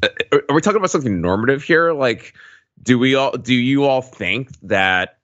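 Fast talking guy on a podcast, kinda low voice, casual, asking questions, slightly muffled lo-fi sound. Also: expressive, fairly bright tone, no room sound. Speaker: podcast co-host. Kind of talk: casual discussion, posing questions.

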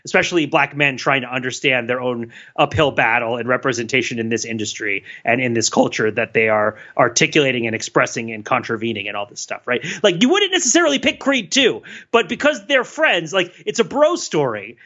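A man co-hosting a podcast in casual chat, excitable and talking in quick bursts with many restarts. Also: medium pitch. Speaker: podcast co-host. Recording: dull lo-fi mic.

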